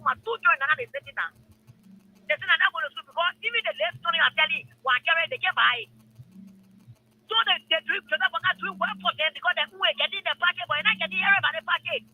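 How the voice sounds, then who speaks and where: A woman's voice: extremely high pitch, quick pace, phone-quality sound. A middle-aged woman with a Liberian accent, a phone-in caller complaining informally and passionately, repeating phrases for emphasis.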